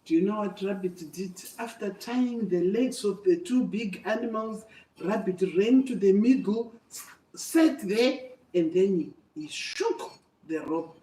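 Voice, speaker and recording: A woman's voice: medium pitch, unhurried, mellow. An older woman telling a story to children in an emphatic, repetitive, teacherly way. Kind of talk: animated oral storytelling to children. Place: echoey room, away from the microphone. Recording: echoey room, distant microphone.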